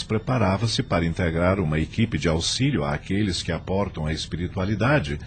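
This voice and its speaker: A man's voice: low voice, darkish tone, slight background noise. A man with a Brazilian accent narrating an audio novel as scripted, dramatic storytelling.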